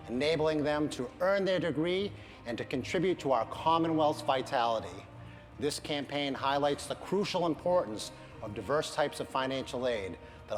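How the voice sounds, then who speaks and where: A middle-aged man, a university financial aid administrator, giving an earnest, measured prepared speech at a campaign event. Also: a little high, big-room sound. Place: large event hall.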